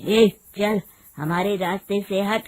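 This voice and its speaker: A woman's voice: mid-range voice, steady tone, takes it slowly, darkish tone. A woman voicing a bewitched village man in a children's story, chanting as if in a trance. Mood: entranced.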